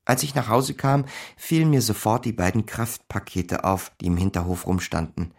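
An actor playing a smooth, glib small-time con man narrating his capers in a German radio crime drama. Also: fairly low pitch, normal speed. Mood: dry, self-satisfied. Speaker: narrator and lead character.

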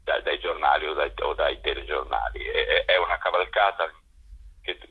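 Low husky man on a phone line. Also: fairly even intonation, moderate pace. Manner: hesitant, repeats words while searching. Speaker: theatre co-director, guest. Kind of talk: radio interview by phone. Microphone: telephone.

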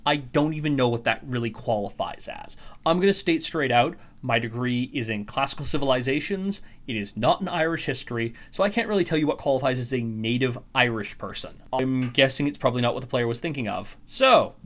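Young guy podcasting solo, snarky and sweary, mid-pitched voice. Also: animated, fairly quick, hardly any pauses. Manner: sarcastic, profane ranting. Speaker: podcast host.

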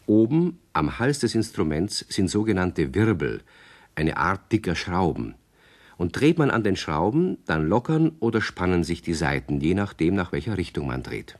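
A man's voice: low voice, full character voice. A man narrating a children's radio play in speech, as a storyteller explaining things to young listeners.

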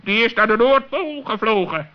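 An actor playing a fussy talking bird in a children's radio play, with a hemming way of speaking. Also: male voice, high voice, lively intonation, moderate pace. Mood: wary. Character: fussy talking bird, travelling companion of a gnome. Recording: dull old radio play recording.

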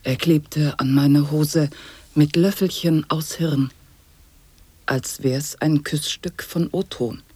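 A woman acting a sly commentator on absurd wordplay in an avant-garde radio play, husky and mellow in voice. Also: low-pitched, fairly even intonation, slow pace, no echo, full character voice. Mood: mock narrative.

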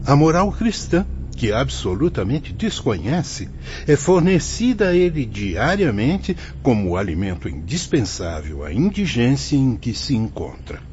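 An actor playing a benevolent priest guide in a Brazilian radio drama, speaking in a solemn, measured, didactic way with a Brazilian accent. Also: male voice, mid-range voice, big pitch swings, a little mellow. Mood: earnest, preaching. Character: benevolent priest guide in a spirit-world reformatory. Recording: dull lo-fi audio with faint noise.

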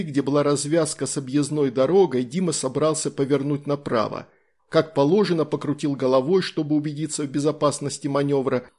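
A man's voice: fairly high pitch, darkish tone. A man narrating a scripted story as an audiobook reader.

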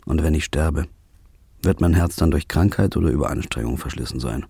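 A man playing a weary, haunted first-person narrator in a radio drama, with a dark, brooding delivery. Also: very deep voice, fairly quick, husky, no echo, heavily acted. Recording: music underneath.

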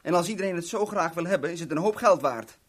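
Cocky, husky small-time crook. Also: male voice, sits high, animated. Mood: chummy, explaining. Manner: mock-friendly, boastful, unhurried storytelling. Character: small-time crook Tony, cocky, chummy, scheming kidnapper. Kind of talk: 1970s Dutch radio drama acting.

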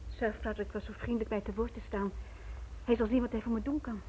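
A woman's voice: fairly high pitch, fairly even intonation, fast, warm tone, breathy edge. An actress playing a bewildered, anxious wife looking for her missing husband in a radio play, hesitant and pleading. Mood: formal, hopeful. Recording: tinny old radio recording, audible noise.

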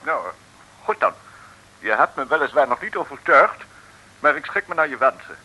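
An actor playing a pompous, stuffy mayor in a 1950s comic radio serial, formal and easily affronted. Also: male voice, on the high side, animated, moderate pace, bright, forceful tone, slight background noise, rough recording. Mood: grudging.

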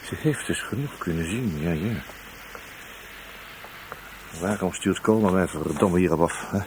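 An actor playing a wry, sarcastic, restless police detective in a radio drama, muttering hesitantly and breaking into exclamations. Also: male voice, low-pitched, animated, measured pace. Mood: dryly ironic. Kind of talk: Dutch radio drama acting. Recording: noisy old radio drama recording.

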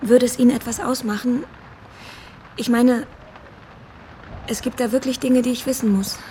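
A woman's voice: on the high side, fairly even intonation, dark tone, a little hiss or hum, natural voice. An actress playing an anxious, polite young woman searching for answers in a radio drama, speaking hesitantly. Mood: uneasy, wistful. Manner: hesitant, halting. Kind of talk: German radio drama acting.

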